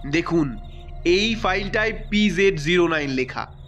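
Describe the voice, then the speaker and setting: An actor playing an alert investigator piecing clues together urgently in an audio thriller. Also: male voice, high voice, expressive, unhurried, fairly bright tone, muffled recording, exaggerated voice. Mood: alert, deducing. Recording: music under the voice.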